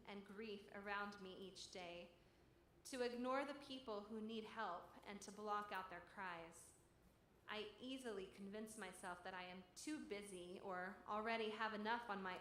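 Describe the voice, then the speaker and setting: A woman giving a solemn, earnest dramatic reading of a devotional text, deliberately phrased. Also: medium pitch. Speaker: church reader. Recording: echoey room. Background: music.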